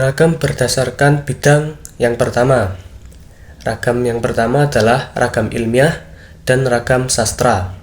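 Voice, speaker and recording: A teenage boy presenting a school lesson, explaining in an earnest, step-by-step way with a few restarts. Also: mid-range voice. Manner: earnest, with frequent fillers and restarts. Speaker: student presenter. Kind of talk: class presentation, explaining material.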